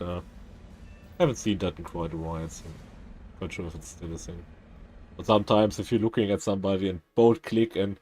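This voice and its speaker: A man's voice: sits low, a little mellow. German man rambling on a game stream. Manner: rambling, halting, many restarts. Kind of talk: casual gaming chat.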